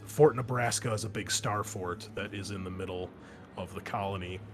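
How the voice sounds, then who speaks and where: A man's voice: lowish voice, steady tone, moderate pace. A man speaking as game master, explaining a tabletop roleplaying scenario in a conversational, improvised way. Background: background music.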